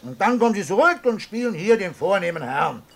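A man's voice: high-pitched, sing-song, brisk, a bit bright, heavily acted. An actor playing a brash, goading man in a 1960s German radio play. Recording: echoey old radio recording.

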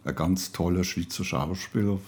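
A man's voice: low voice, normal speed, a little mellow. A man talking casually and reflectively as an interviewer in conversation. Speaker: interviewer and radio play maker.

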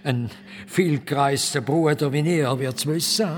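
An actor playing a grumpy monk librarian with a Swiss German accent, hesitant and muttering, in an audio drama. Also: male voice, fairly high pitch, measured pace, long reverb tail. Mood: flustered, doubtful. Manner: hesitant, stammering, muttering agreement. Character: grumpy, flattered old monk, head librarian.

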